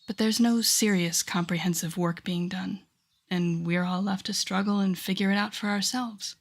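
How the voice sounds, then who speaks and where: A woman's voice: mid-range voice, fairly even intonation, normal speed, close to an everyday voice. A woman playing a wry, teasing telepath villain who mentors a rookie hero, in an intimate, conversational audio roleplay. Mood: musing, wry. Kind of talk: intimate second-person audio roleplay acting.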